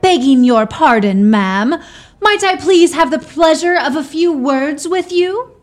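A woman's voice: sits high, animated, measured pace. A woman playing an eager, imperious self-styled duchess in a radio play, mixing mock-regal formality with folksy talk.

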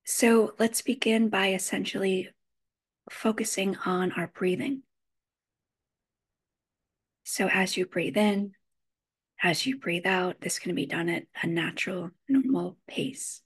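A woman leading a guided meditation, giving gentle instructions in measured phrases with frequent pauses. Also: medium pitch, slightly rough.

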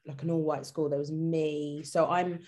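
Brief: female guest, low pitch, loose chatty storyteller full of fillers. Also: level tone, moderate pace, warm tone. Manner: rambling, heavy with "like" and "kind of". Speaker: guest, co-founder. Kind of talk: storytelling in casual conversation.

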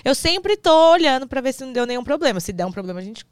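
Candid young woman, smooth close voice. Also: high voice, fast, no echo. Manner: frank, giggly, lively storytelling. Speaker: interview guest. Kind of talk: candid casual chat.

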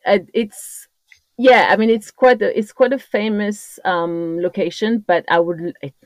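A woman's voice: mid-range voice, steady tone, a little slow, no room sound. A woman in her fifties from Corsica with a French accent, chatting as a podcast guest in a rambling way with many restarts. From Corsica, France. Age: 50s. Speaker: podcast guest, trail runner. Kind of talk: casual interview chat.

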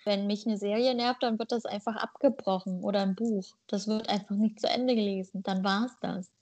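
A woman's voice: mid-range voice, steady tone, normal speed, a little mellow. A woman born in Germany co-hosting a podcast, talking bluntly and playfully in casual chat. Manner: blunt, playful, spins jokes out. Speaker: podcast co-host.

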